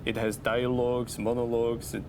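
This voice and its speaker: A man's voice: mid-range voice, normal speed. A man who speaks English as a second language, chatting casually and hesitantly as a guest on a stream. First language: non-native English speaker. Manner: relaxed, hesitant, trails off and restarts. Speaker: company community manager, interview guest. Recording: steady background noise.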